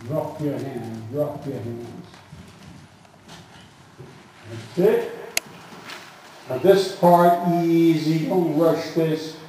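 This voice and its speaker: A man's voice: fairly high pitch, drawn-out words, pauses often. A man coaching riders at a show jumping clinic, calling out terse, emphatic instructions and repeating short commands. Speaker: horse riding coach. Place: large covered indoor riding arena. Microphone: distant camera at the side of the arena. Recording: echoey riding arena, distant mic, some noise.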